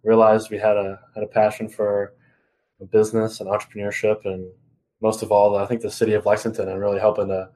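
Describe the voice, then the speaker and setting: A man's voice: on the low side, monotone, brisk, mellow, rough recording. A young man co-hosting a podcast in casual conversation. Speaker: podcast co-host.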